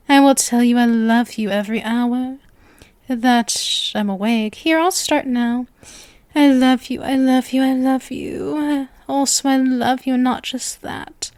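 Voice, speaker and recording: A woman's voice: on the high side, a little mellow, no room sound. A woman voicing a sweet, lovestruck, clingy witch girlfriend in an ASMR roleplay, speaking to the listener in a coy, nervous, gushing and intimate manner. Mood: overjoyed, adoring. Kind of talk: ASMR roleplay, scripted acting addressed to listener.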